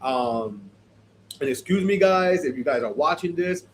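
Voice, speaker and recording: Man, podcast host, stuffy nose, sniffly, chatty and animated, slightly high voice, faint background hiss. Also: measured pace. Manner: chatty, animated, many fillers. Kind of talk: casual fashion review chat.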